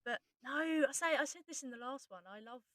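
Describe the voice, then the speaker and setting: A woman with a bright, forceful voice talks in a casual behind-the-scenes discussion. She explains informally and often abandons a sentence halfway. The recording is close and dry. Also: high voice, expressive, quick pace, no echo. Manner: informal, explanatory, breaks off mid-thought. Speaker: writer and co-host.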